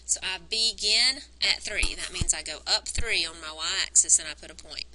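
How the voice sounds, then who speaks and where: A woman teacher recording a math lesson on a wired mic, explaining each step and counting points aloud, her voice worn and going hoarse. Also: on the low side, moderate pace, bright, forceful tone, a little hiss or hum, rough recording. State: losing her voice. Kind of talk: recorded math lesson, working through graphs. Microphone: wired microphone on a cord.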